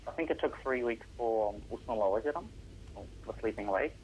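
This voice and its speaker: A man's voice: medium pitch, fairly quick, highs cut off, noisy background. A teenage boy, a Russian speaker at home, answering radio interview questions about composing, earnest and explaining at length with some hesitations. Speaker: young composer guest.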